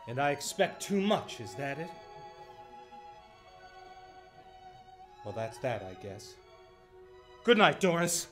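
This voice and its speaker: A man's voice: a little high, sing-song, normal speed, over music. A man playing an idealistic, eager lawyer in a radio play, earnest and emphatic. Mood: hurt. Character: idealistic, eager male lawyer full of faith. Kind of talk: stage-like radio play acting.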